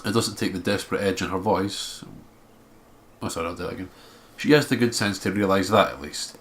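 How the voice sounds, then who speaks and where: A man's voice: low-pitched, fairly quick, a bit bright. A man reading a visual novel aloud as narration, expressively, with casual asides.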